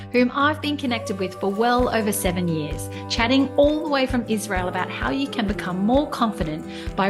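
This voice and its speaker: An Australian woman hosting a podcast, reading an upbeat scripted intro and then chatting warmly with a guest. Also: mid-range voice. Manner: upbeat and enthusiastic, with some filler words in conversation. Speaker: podcast host and life coach.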